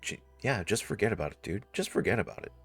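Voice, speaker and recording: A man's voice: lowish voice, lively intonation, quick pace, a bit bright, slightly rough, faint music behind. A man playing through a game with casual commentary, wry asides and read-aloud narration. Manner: laid-back, wry asides. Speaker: video game let's player.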